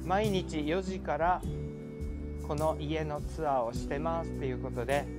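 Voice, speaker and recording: A man's voice: a little high, fairly even intonation, measured pace, loud music behind the voice. A middle-aged man casually narrating a walking tour outdoors, polite and enthusiastic, speaking into a handheld camera. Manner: chatty, polite, enthusiastic guide. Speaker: vlogger. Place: outdoors on city streets and in a park. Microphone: handheld wide-angle camera.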